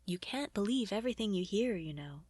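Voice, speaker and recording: A woman voicing a playful, romantic lady in a storybook, soft and teasing. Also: medium pitch, lively intonation, fairly quick, breathy edge, no echo, clean studio sound.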